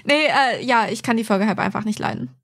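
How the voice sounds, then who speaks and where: A woman's voice: on the high side, lively intonation, brisk, fairly bright tone, no room sound, studio quality. A woman chatting casually as a podcast co-host. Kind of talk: casual chat.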